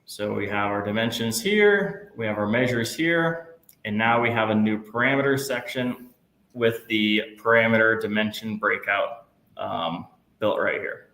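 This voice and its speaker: Patient man teaching a software class. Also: on the low side, unhurried. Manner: patient, reassuring, step-by-step. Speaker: instructor. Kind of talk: live software class walkthrough. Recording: echoey room, faint noise.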